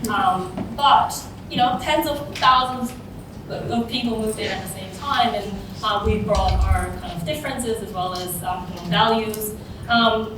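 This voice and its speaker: Woman speaking in a very echoey venue. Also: medium pitch. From South Korea. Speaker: conference speaker, social entrepreneur. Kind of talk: public talk with personal storytelling. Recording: distant, very echoey room with clear noise.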